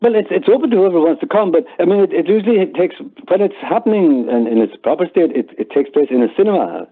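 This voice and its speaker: A man's voice: high-pitched, lively intonation, fast, husky, narrow, tinny audio. An older man with an Irish accent chatting casually as an interview guest, explaining things hesitantly and repeating words as he goes. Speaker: interview guest, member of amateur audio theatre group.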